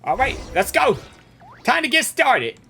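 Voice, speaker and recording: Animated woman streamer reacting to a game. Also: sits high, a little slow, vocal fry. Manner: excitable, slangy exclamations. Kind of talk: reaction commentary, reading dialogue aloud.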